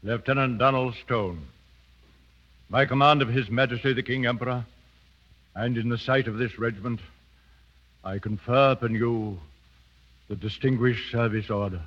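An actor playing a dignified middle-aged British colonel in a 1930s radio drama, formal and ceremonial. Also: male voice, medium pitch, normal speed, pauses often, a bit bright, lightly acted. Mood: solemn. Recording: dull 1930s radio broadcast recording.

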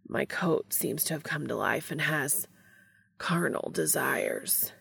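A woman voicing an earnest male angel with a flat, stiff, deadpan delivery in an audio story. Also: deep voice, takes it slowly, vocal fry, slightly rough, dry recording, exaggerated voice. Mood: breathless, strained.

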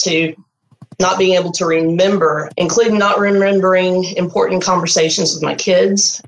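A woman's voice: fairly low pitch, reverberant, slight background noise, poor audio. A woman in her forties with a Texan accent, a guest sharing her health story in an earnest, candid way. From Texas. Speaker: guest, program graduate. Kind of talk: personal testimony.

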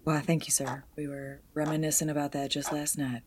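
A woman's voice: low voice, level tone, fairly quick, a little mellow, a little hiss or hum, natural voice. A woman playing a deferential, guarded stunt driver in an audio drama, speaking politely with folksy, careful hesitations. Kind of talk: 1920s-set audio drama roleplay.

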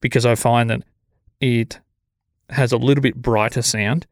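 An Australian man hosting a podcast in relaxed, low-energy casual chat, with a sibilant voice on a studio mic. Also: measured pace, no room sound. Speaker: podcast host. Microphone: studio dynamic mic.

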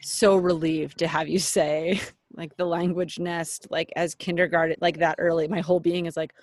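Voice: mid-range voice, flat delivery, normal speed, dry recording. A host talking reflectively in a casual conversation, searching for words.

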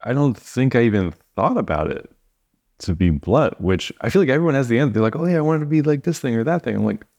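A man chatting as a podcast guest in an informal, halting and self-deprecating way. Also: mid-range voice, animated, darkish tone. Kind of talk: casual chat.

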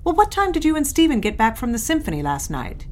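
Middle-aged society mother, proud, suspicious, scolding, very animated intonation, slightly high voice, period audio drama. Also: fast, a little hiss or hum. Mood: critical, fussing. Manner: scolding, prying, indignant.

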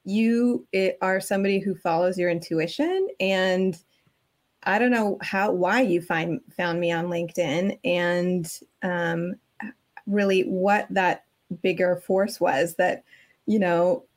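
A woman hosts a conversational podcast interview in a slightly low voice. She sounds reflective and appreciative, often searching for her words as she speaks to her guest. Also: steady tone, takes it slowly.

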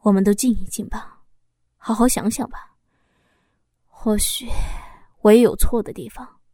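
A woman's voice: medium pitch, fairly even intonation, unhurried, mellow, no room sound, studio quality, close to an everyday voice. A woman voicing a heartbroken, bitter husband in a storybook reading. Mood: weary. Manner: bitter, sneering. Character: heartbroken bitter husband, middle-aged man.

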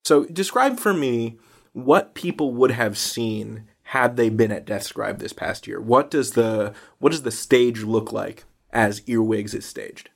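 A man's voice: mid-range voice, a little slow, vocal fry. A man hosting a podcast, asking questions in a conversational interview style.

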